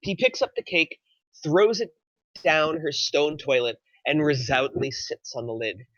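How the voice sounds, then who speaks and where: A man's voice: fairly high pitch, normal speed, fairly bright tone, dry recording, muffled recording. A man narrating, reading screenplay action lines aloud at a table read.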